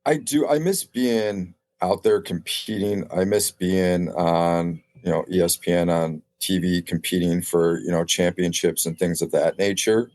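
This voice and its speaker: A man's voice: sits low, fairly even intonation, measured pace, few pauses, a little mellow, no echo. A man talking casually as a guest on a sports podcast, reflecting as a former competitor.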